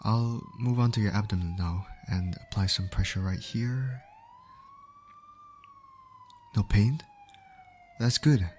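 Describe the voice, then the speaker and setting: A low-pitched male voice , acted by a man, plays a gentle medic in an ASMR roleplay. He is attentive and coaxing, steadily reassuring an injured patient. Faint siren and vehicle noise sit behind him. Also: unhurried, close to an everyday voice. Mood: calm, reassuring. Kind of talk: ASMR comfort roleplay acting.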